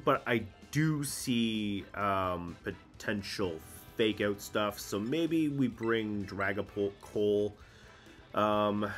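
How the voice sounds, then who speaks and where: A man's voice: lowish voice, a bit bright. A man with a cold and a congested voice, casually explaining a competitive game team and thinking aloud with many ums. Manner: chatty, explains strategy, frequent ums. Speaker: Pokemon VGC content creator.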